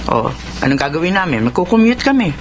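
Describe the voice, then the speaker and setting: Fretful demanding mother played by a woman, animated delivery. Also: lowish voice, fast, a little hiss or hum. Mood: fretful, hinting. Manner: complaining, hinting, fussy. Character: fretful, demanding elderly mother of grown children. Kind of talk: radio drama acting in Filipino.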